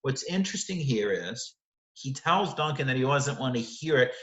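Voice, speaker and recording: A man's voice: mid-range voice, animated, moderate pace, fairly bright tone, reverberant, lo-fi sound. A man teaching literature, walking through the text of a play and explaining it line by line, often correcting himself as he goes.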